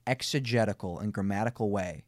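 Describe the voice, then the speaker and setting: A man's voice: lowish voice, fairly quick, no echo. A man hosting a debate podcast, laying out his argument emphatically step by step with frequent restarts. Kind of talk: debate conversation.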